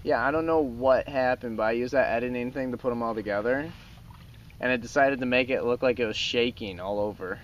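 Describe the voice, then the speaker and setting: A man's voice: mid-range voice, brisk, muffled recording. A young man giving casual, informal running commentary to viewers while filming outdoors from a canoe. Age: young adult. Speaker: vlogger filming a canoe trip. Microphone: handheld camera mic. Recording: noisy outdoor handheld camera recording.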